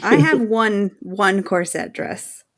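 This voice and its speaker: A woman's voice: mid-range voice, expressive, slow. A woman speaking as a podcast panelist in casual, conversational chat.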